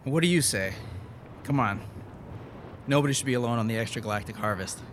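A man's voice: mid-range voice, fairly quick, background noise, lightly acted. A man playing a sociable, encouraging scientist in an audio drama, speaking casually.

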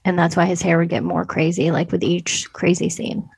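A woman's voice: fairly low pitch, little pitch movement, normal speed, gravelly, slightly rough, dry recording. A woman co-hosting a podcast, chatting casually about film in an informal, conversational way peppered with filler words. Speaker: podcast co-host.

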